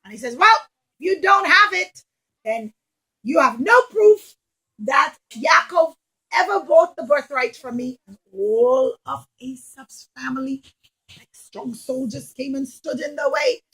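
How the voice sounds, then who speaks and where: High sharp lady voice doing storytime for little kids, expressive, acts out what the characters say, asks them questions. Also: a little slow, rough recording. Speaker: children's religion teacher. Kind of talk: storytelling puppet show lesson for young children.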